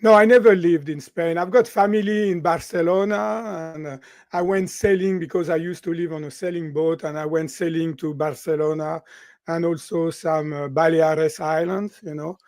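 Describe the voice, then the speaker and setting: A man in his sixties from France with a French accent, a guest in an interview, talking in an animated way and often ending sentences with "right?". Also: fairly high pitch, normal speed, dry recording, clean studio sound. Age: 60s. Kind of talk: interview conversation.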